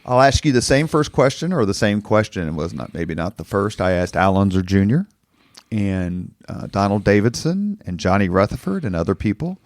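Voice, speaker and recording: A man with a fairly low voice works as a podcast host, moving between prepared introduction and interview questions. The recording is very dry, with hardly any room sound around him. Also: lively intonation, normal speed, no echo.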